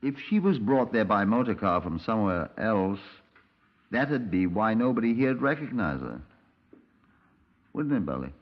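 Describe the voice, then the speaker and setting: An actor playing a methodical British police sergeant in a 1950s radio crime drama, with a measured, formal, dry manner. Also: male voice, lowish voice, sing-song. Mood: probing, businesslike. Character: male police sergeant detective narrating his case, methodical. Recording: dull 1950s radio recording.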